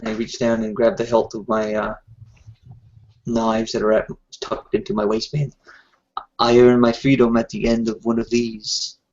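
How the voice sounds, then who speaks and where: A man playing a tabletop game, speaking in a slow, formal way in character. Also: medium pitch, little pitch movement, darkish tone, highs cut off. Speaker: player. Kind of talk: tabletop roleplay, partly in character.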